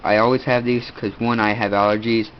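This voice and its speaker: A man's voice: lowish voice, flat delivery. A teenage boy with a stuffed-up nose, casually showing his kit and rambling with lots of fillers. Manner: rambling, halting, many fillers. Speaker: video host. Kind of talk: casual gear show-and-tell. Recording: dull lo-fi audio, faint room noise.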